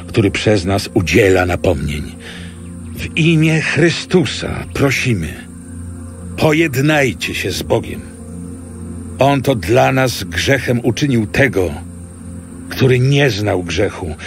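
An earnest apostle appeals to believers in a dramatised Bible reading. The voice is low and unhurried, with soft music behind. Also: male voice. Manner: earnest, deliberate.

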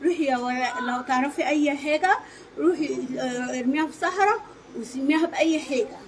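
A middle-aged Egyptian woman speaks in a high voice at a quick clip. She tells her account emphatically, as a witness in a street interview, with faint noise around her outdoors. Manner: emphatic, animated storytelling with quoted dialogue. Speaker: neighbour giving eyewitness account. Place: outdoors against a brick wall in a street.

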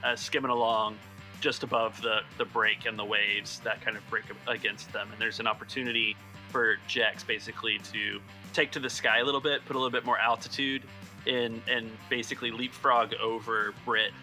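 A man's voice: fairly low pitch, steady tone, moderate pace, bright, forceful tone, soft background music. A man running a tabletop roleplaying game as game master, narrating scenes in a descriptive, conversational way.

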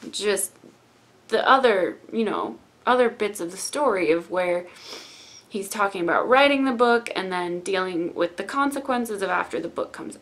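A young woman hosting a trailer reaction in a room lined with bookshelves, hoarse from losing her voice and chatting in a gushing, excited way into a desk webcam. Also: on the high side. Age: young adult. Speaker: YouTube reaction host.

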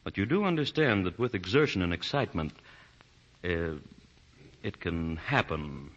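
A dull vintage radio recording carries a kindly doctor. His low voice moves through lively rises and falls, gentle, grave and tactfully hesitant. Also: moderate pace. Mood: grave, concerned. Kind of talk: 1940s radio acting.

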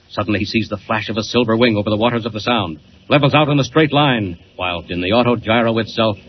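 A male announcer narrates a 1940s radio adventure in a dramatic, scripted style. His pitch is average, and the old recording is muffled with light noise. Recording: dull, noisy 1940 radio recording.

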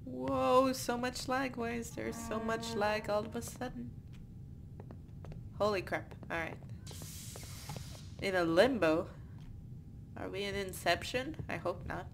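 A woman's voice: a little high, slow, gravelly, long pauses, background noise. A young woman with a stuffy nose from a cold, chatting and giggling through casual gameplay commentary as a let's play host. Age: young adult. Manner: giggly, chatty, swears mildly.

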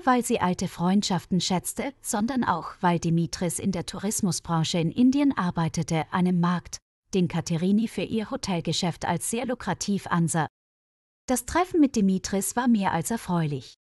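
A woman reads a scripted story for language learners, phrasing each sentence clearly. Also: mid-range voice, a little mellow, no echo, clean studio sound. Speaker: narrator of language-learning stories. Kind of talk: scripted narration.

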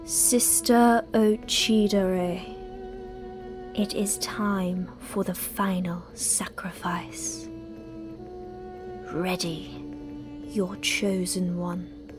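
A woman playing a cold, commanding Mother Superior of a death cult in an audio drama, solemn and often hushed. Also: fairly low pitch, steady tone, very slow, pauses often, music in the background. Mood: cold, menacing. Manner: solemn, imperious, breaking into hushed breathy phrases.

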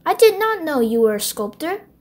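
A woman's voice: high-pitched, big pitch swings, moderate pace, pitched above the performer's own voice. A woman voicing a confident, admiring female circle creature in a children's storybook, assured and effusive. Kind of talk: children's storybook read-aloud.

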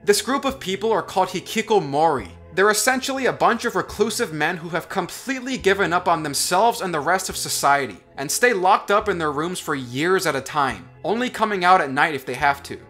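A young man in college giving sarcastic, deadpan commentary as a voice-over. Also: high-pitched, fairly quick, hardly any pauses, faint music behind. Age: young adult. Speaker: commentary vlogger. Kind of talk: sardonic scripted commentary over animation.

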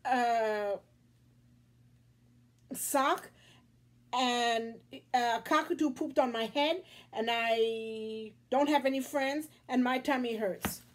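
A woman voicing an upset little boy who rattles off a long, run-on list of complaints in a higher, louder voice than her own. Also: slow. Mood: aggrieved. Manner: breathless run-on whining complaint. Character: upset little boy, scaredy-cat kid.

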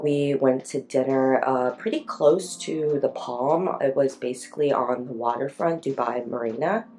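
Raspy, very low-pitched woman vlogging. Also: mellow. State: tired. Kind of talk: casual vlog chat to camera. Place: small hotel room indoors.

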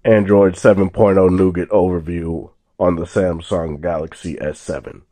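Deep-voiced young man, slightly sore throat. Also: normal speed, no room sound. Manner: relaxed, rambling, many fillers and restarts. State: slightly sore voice after illness. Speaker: tech reviewer. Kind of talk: casual hands-on phone review. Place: indoors at a table, off camera.

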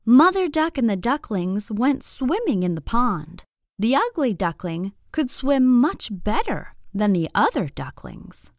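A woman narrating a scripted bedtime story for young children in an expressive, deliberate, clearly phrased way. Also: on the high side, no echo, studio quality.

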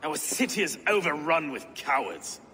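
An actor playing an earnest, anxious medieval official in a game cutscene, speaking expressively and eloquently. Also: male voice, on the high side, very animated, normal speed, sharp tone. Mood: troubled, urgent. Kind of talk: dramatic game cutscene acting. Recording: reverberant hall, faint ambient noise.

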